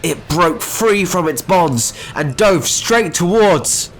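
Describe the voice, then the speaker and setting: A man's voice: high voice, expressive, slow pace, a little hiss or hum. A man reading scripted, dramatic story narration as the narrator.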